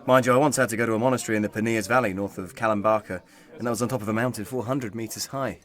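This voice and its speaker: A man's voice: fairly low pitch, steady tone, racing, fairly bright tone, lightly acted. An actor playing an affable, bumbling, evasive British man in an audio comedy. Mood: chatty. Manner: chatty, evasive. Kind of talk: audio sitcom acting.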